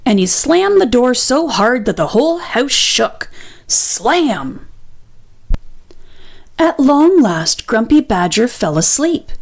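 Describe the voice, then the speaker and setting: A woman reads a children's book aloud as narrator. Her delivery is unhurried and expressive, with widely varied intonation around a medium pitch. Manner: expressive, deliberate storytelling. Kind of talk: read-aloud storybook narration.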